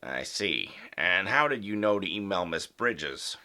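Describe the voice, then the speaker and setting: A man playing a measured, evasive school principal in an audio drama, speaking in a formal, guarded way. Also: sits low, lively intonation, pushed and bright, voice pushed down, heavily acted. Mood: probing.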